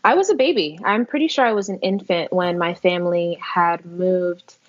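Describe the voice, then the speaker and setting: A slightly dull, dry recording of a woman with an American accent. Her voice sits a little low. She answers conversationally, as a guest being interviewed on a podcast. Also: moderate pace, dry recording. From Las Vegas, United States.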